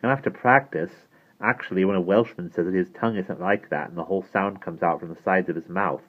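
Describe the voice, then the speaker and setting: A proud teenage boy, acted by a man reading a storybook, speaks in a brisk, teasing, emphatic way. The sound is narrow and muffled, as if down a phone line. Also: deep voice.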